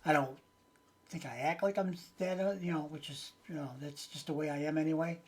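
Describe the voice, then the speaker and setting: A man's voice: fairly high pitch, fairly even intonation, a little fast. A man in his late sixties chatting casually and unscripted to his viewers as a channel host, rambling in a folksy way with hesitant phrasing. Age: late 60s.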